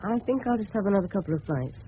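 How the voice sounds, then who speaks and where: Tinny recording, earnest English schoolboy. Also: male voice, high voice, animated, very fast. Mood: earnest, polite. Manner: polite, earnest, boyish. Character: polite, earnest fourteen-year-old English schoolboy, self-conscious. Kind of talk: radio drama acting with inner monologue. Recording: noisy tinny old radio recording.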